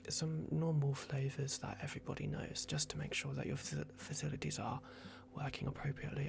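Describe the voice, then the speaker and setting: Man playing a whispering, gravelly, fussy vampire doctor. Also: a little high. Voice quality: breathy whisper with gravelly creak. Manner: halting, reassuring, murmured patter. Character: playful, fussy male vampire doctor at private clinic. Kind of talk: ASMR roleplay.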